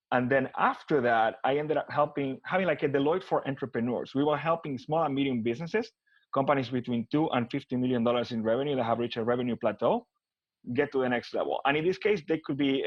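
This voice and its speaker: A man's voice: mid-range voice, no room sound, lo-fi sound. A man from Argentina with an Argentine Spanish accent, talking as an interview guest about his career, with many restarts and repeated words. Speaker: guest, business consultant. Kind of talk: casual interview conversation.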